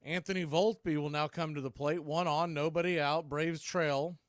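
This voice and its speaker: An American man doing live baseball play-by-play in clipped, punchy calls with pauses, mixed with casual fan chat. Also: on the high side, fairly even intonation, no room sound, clean studio sound.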